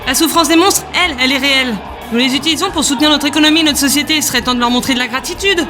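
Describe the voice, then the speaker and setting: A woman's voice: high voice, rapid-fire, crowd noise, lightly acted. A woman playing an earnest, articulate and insistent council representative in an audio drama. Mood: indignant. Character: earnest, indignant woman council representative, passionate about monsters. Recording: music bed behind the voice.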